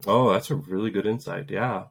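A man's voice: on the low side, normal speed, breathy edge. A man in his thirties, raised in Poland, a soldier and author telling earnest, reflective stories as an interview guest. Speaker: guest, Green Beret and author. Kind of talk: casual interview storytelling.

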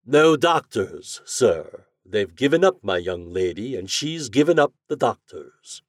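A snooty household butler who barks out short refusals in a raised, husky voice, as a male narrator does him. He is loud and clipped, and the sound is close and dry. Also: medium pitch, big pitch swings, unhurried, no room sound. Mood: brusque. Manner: clipped and dismissive. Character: curt, brusque older male butler. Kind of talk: storybook reading.

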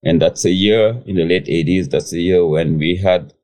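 A man, his voice extremely deep and dark. He tells his story as a guest chef, hesitant and full of fillers and restarts. He is heard over a remote call. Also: normal speed. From Senegal. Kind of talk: casual interview storytelling.

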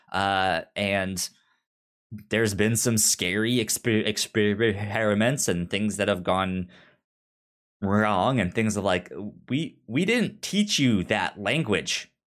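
A man co-hosts a casual podcast chat, retelling a story at a slow pace. His voice sits a little low, and his delivery is halting, with word starts often repeated. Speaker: podcast co-host.

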